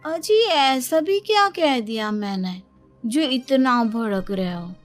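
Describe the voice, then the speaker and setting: A woman acts out a young Indian daughter-in-law from a cartoon family drama. The voice is high and slow, whining theatrically, full of self-pity and self-praise. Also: expressive, soft background music. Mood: tearful self-pity.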